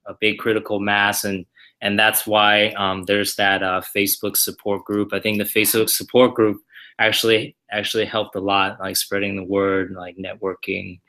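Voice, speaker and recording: A man on company staff answering viewer questions in a casual livestream from the office, halting and full of fillers and restarts. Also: on the low side, little pitch movement, pushed and bright. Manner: halting, many fillers and repeated starts. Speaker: company staff member hosting a Q&A.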